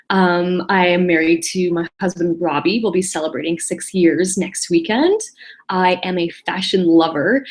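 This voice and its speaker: Chatty woman hosting a webinar. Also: fairly low pitch. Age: 30s. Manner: chatty, apologetic, self-deprecating with quick laughs. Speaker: webinar host. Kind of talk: casual solo webinar presentation.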